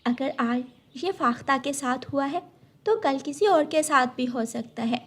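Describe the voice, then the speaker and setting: Woman playing a high-voiced sensible little bird. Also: lively intonation, a little slow. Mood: concerned. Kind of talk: Hindi cartoon story acting.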